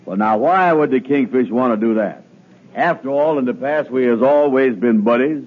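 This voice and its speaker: An actor playing a slow, gullible, weary man in an old radio comedy, with a drawling, ungrammatical manner. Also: mid-range voice, close to an everyday voice. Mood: indignant, confused. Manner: drawling, ungrammatical, muttering to himself. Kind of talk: 1950s radio comedy acting. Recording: narrow phone-like old radio recording, loud noise.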